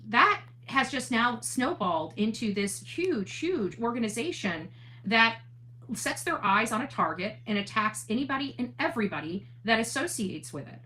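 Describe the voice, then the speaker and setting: A woman's voice: a little high, very animated, normal speed, pushed and bright. A woman hosting an online livestream, talking casually and earnestly in a monologue, often repeating and rephrasing her points for emphasis. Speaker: online channel host. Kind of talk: livestream talk, casual monologue with replies to chat.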